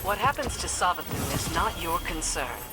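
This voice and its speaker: A woman's voice: lowish voice, animated, normal speed, close to an everyday voice. An actress playing a no-nonsense female field commander in a video game, giving mission guidance with clipped authority. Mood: stern. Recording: loud game music behind.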